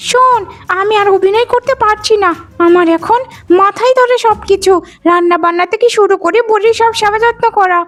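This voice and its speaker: An extremely high-pitched young daughter-in-law voiced by a woman in a cartoon moral tale. She is greedy and scheming, with a whiny, theatrical delivery. Soft music sits behind the voice. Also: a little fast. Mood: irritated, plotting. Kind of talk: Bengali animated moral-story voice acting.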